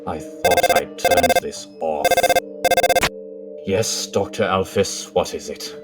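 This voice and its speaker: A man voicing a grim, obsessive scientist in a dramatic comic dub, with a hushed, measured, formal and menacing delivery. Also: deep voice, sing-song, vocal fry, loud music behind the voice, full character voice. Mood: tired, evasive. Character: cold, grim, obsessive male royal scientist, weary and menacing.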